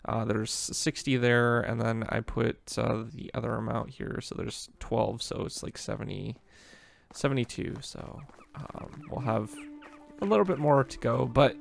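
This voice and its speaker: A man hosting a casual let's play, talking in a relaxed, rambling way with lots of fillers while losing his voice. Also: mid-range voice, a little slow, vocal fry. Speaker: gaming channel host.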